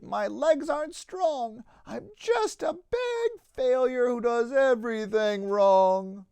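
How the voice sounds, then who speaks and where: A man's voice: sits high, darkish tone, dry recording, clean studio sound, exaggerated voice. A man voicing a small, sad, timid donkey in a children's storybook, pleading and full of self-doubt. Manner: pleading, plaintive.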